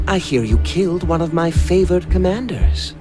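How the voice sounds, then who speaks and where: An actor playing a haughty, boastful magic beast master who loves battle, in a game cutscene. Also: male voice, high-pitched, lively intonation. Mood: haughty, amused. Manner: boastful, slow and deliberate. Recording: music behind the voice.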